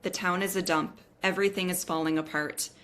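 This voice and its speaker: Female narrator for a screenplay table read, slightly low register, delivering scene headings and action. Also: steady tone, brisk, a bit bright, slight background noise. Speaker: reader of stage directions.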